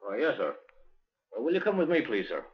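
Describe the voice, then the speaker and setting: A man playing a deferential, straightforward police sergeant with a husky voice in a 1950s radio drama. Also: mid-range voice, very animated, fairly quick. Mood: polite. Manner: respectful, obliging. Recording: muffled 1950s radio recording.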